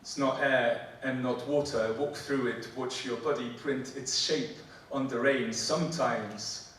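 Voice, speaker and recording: A man in his forties with a Polish accent, performing spoken word poetry and joking between poems in halting, filler-heavy banter. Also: medium pitch, fairly even intonation, a bit bright, a little hiss or hum. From Poland. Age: 40s. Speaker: performance poet. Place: theatre stage before a live audience. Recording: echoey hall, mic from a distance. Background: audience laughter.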